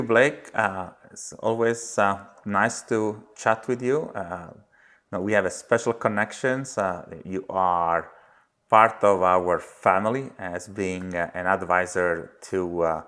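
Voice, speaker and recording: A middle-aged man from Italy with an Italian accent, a company founder speaking as a podcast guest, pausing often on "uh". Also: low-pitched, a bit bright. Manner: many "uh" fillers, unhurried. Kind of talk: podcast interview.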